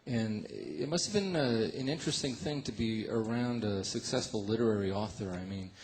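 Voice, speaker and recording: A man's voice: on the low side, expressive, normal speed, darkish tone, slight background noise. A man hosting a radio show, interviewing a musician in a loose, conversational way, with many fillers and restarts.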